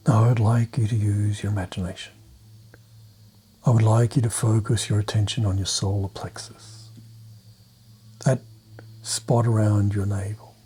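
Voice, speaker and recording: A man leading a guided meditation in a soft, breathy, half-whispered voice, calm and soothing, pausing between short phrases. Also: fairly low pitch. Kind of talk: guided meditation, soft-spoken hypnotic suggestion.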